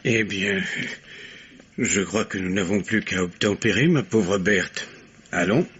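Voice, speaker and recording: A man's voice: deep voice, takes it slowly, fairly bright tone, full character voice. An actor playing an indignant, pompous middle-aged father in a 1950s French radio mystery. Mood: huffy, resigned. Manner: huffy, pompous. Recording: dull, rough vintage radio recording.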